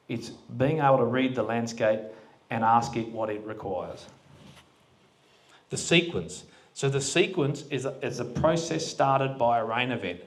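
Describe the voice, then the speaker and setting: A man giving a casual talk at a farming forum, plain-spoken and quizzing the audience with questions. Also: mid-range voice. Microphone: handheld microphone that cut out.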